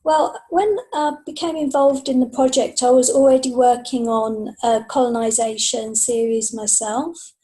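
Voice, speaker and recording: A British woman talks as a guest in a casual interview, in a fairly high, mellow voice with a slightly husky edge. She answers thoughtfully, pausing and feeling for her words. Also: fairly even intonation. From Britain. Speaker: podcast guest, science fiction author.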